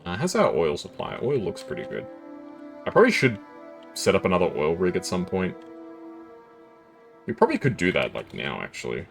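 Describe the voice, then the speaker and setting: A young man with a very low, deep voice talks through his gameplay in a relaxed, chatty way, his pitch swinging widely between low murmurs and lively jumps. Soft background music plays faintly behind him. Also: brisk, creaky, pauses often. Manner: relaxed, chatty, thinks aloud while reading chat. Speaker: game streamer. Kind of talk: casual gameplay chat with viewers.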